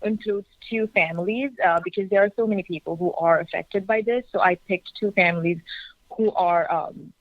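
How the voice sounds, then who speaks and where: A woman from Bangladesh, a documentary filmmaker, talking on the phone in a soft, searching way with many fillers. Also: lowish voice, moderate pace, dry recording. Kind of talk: phone interview. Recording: muffled phone line.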